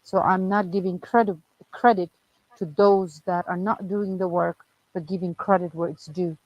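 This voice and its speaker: Firm low-pitched woman, dull recording. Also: fairly even intonation, no room sound. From Afghanistan. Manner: earnest, firm, deliberate explanation. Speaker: charity director, activist. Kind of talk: personal spoken message. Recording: muffled lo-fi voice clip.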